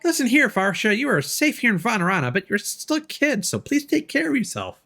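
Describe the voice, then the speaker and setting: A man voicing a small, excitable, caring fairy girl from a video game. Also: high voice, a little fast, vocal fry, soft background music, pitched above the performer's own voice, exaggerated voice. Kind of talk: reading game dialogue in character.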